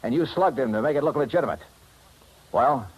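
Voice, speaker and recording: An American actor playing a shrewd, wry, hard-boiled investigator in a 1950s radio detective drama, with a clipped delivery. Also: male voice, mid-range voice, animated, brisk, breathy edge, low-quality recording. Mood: confronting. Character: shrewd, wry, tough male insurance investigator. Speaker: lead actor and first-person narrator. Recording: vintage 1950s radio recording, music behind.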